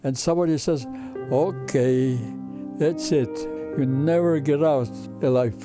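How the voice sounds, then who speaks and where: A man in his nineties with a Ukrainian accent, recalling his past in halting, repetitive broken English. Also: on the high side. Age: over 90. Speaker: interviewee, Holocaust survivor. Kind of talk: personal recollection. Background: instrumental music.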